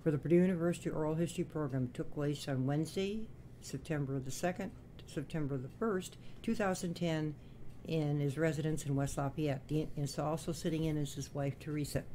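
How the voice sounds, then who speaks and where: Mellow-voiced woman interviewer. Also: sits low, fairly quick, a little hiss or hum, low-quality recording. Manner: reads the formal introduction, then asks brief prompting questions. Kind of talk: oral history interview. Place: the interviewee's home.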